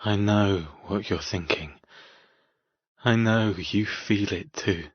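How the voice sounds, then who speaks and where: An actor playing an intense, possessive man in an audiobook drama, speaking softly and deliberately in a murmur. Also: fairly low pitch, slightly rough, no room sound, dull audio. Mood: insistent, intimate. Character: possessive, intense man tending his wounded captive woman. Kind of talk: audiobook character dialogue.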